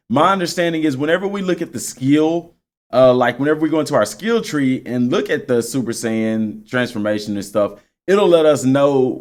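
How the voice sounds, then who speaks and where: A man with a medium-pitched voice hosts a gaming video. He talks informally and off the cuff, reasoning through what he sees as he goes. His delivery is conversational and unscripted. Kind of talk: casual, unscripted game commentary.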